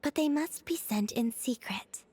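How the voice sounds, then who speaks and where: A woman voicing a serene, polite young moon maiden in a video game, with a soft, airy voice and a gentle, measured, formal way of speaking. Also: high-pitched, animated, very noisy. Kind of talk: scripted video game voice acting. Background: faint music.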